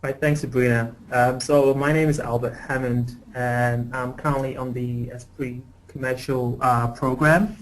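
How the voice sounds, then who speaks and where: Flat-voiced young man, hesitant. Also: mid-range voice, a little slow, few pauses, low-quality recording. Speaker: MBA programme participant. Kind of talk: webinar self-introduction.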